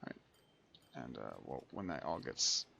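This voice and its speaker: A man's voice: very deep voice, lively intonation, measured pace, creaky, bright, forceful tone, slight background noise. A man giving casual let's play commentary as a gaming host, thinking aloud and muttering to himself.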